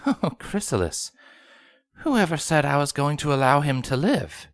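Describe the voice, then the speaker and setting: An actor playing a regal sun princess pony in an audio fan fiction drama. She is solemn, measured and formal, with a protective, sorrowful air and a mid-pitched voice, recorded dry. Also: big pitch swings, no room sound. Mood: quiet resolve.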